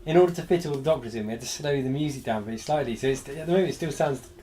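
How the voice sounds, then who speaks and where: A man co-hosting a casual podcast chat, his voice a bit throaty and gravelly today, relaxed with hesitant ums. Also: medium pitch, very fast. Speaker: podcast co-host.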